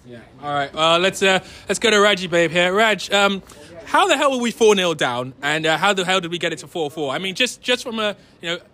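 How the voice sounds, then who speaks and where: A man's voice: high voice, animated, brisk, bright, forceful tone. A man hosting a casual post-match football chat, often repeating his phrases as he puts questions to players. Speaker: host of amateur football podcast.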